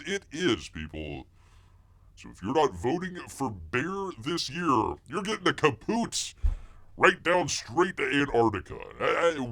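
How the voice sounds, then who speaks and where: A man's voice: mid-range voice, fairly bright tone. A man playing a blustery rambling bear. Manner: rambling, stammering, keeps calling out to people; refers to himself in third person. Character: bumbling blustery male bear, self-styled woods veteran. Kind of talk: comic character monologue.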